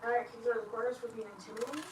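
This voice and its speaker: Higher-voiced woman, quick run-on storyteller, heard from across an echoey, very noisy room. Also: steady tone, recorded from a distance. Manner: rapid, run-on telling. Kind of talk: casual storytelling chat.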